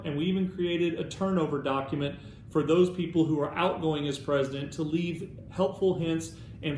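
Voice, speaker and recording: A man's voice: fairly high pitch, a little mellow. An American man giving an encouraging, instructive, semi-scripted update to volunteers as a staff presenter. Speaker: alumni club staff presenter. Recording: roomy echo, background noise.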